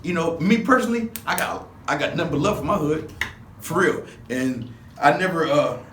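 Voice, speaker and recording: A man's voice: on the high side, normal speed, fairly bright tone, slight background noise. A man in his sixties from Los Angeles, reminiscing as an interview guest in rambling street slang with many restarts. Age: 60s. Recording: echoey room, mic at a distance.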